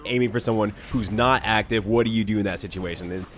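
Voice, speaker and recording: A man's voice: fairly low pitch, fast talker, fairly bright tone, slight background noise. A man co-commentating a group let's play, joking and laughing often. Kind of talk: casual gameplay chat.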